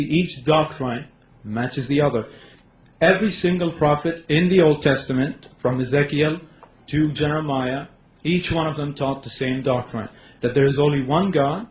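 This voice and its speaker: A young man presents his case at a live debate, earnest and a little nervous at his first time on stage. His voice sits at a middle pitch. The hall around him rings with echo, and a faint hiss runs underneath. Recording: echoey hall, faint background noise.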